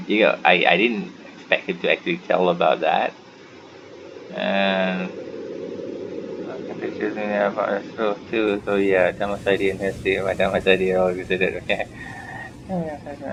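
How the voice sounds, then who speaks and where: A man's voice: low-pitched, creaky. A man giving a casual video game playthrough commentary, rambling and reading the on-screen text aloud in a half-mumble. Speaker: gaming YouTuber. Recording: dull, noisy home gaming recording.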